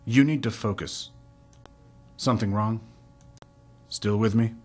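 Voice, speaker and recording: A man with a rough, husky voice delivering scripted video game dialogue as a character. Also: on the low side, a little slow.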